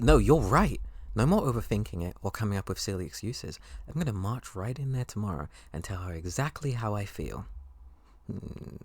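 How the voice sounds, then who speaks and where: A man voicing an excitable, affectionate young college best friend in an intimate ASMR roleplay, playful and prone to gushing rambles. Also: fairly low pitch, creaky, no room sound, pitched above the performer's own voice, exaggerated voice. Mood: flustered, hopeful.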